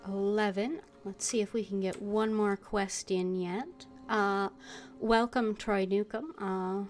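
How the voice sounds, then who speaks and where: A woman game streamer giving casual, unhurried live commentary and reading bits of dialogue aloud. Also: medium pitch, steady tone, a little mellow. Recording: music behind the voice.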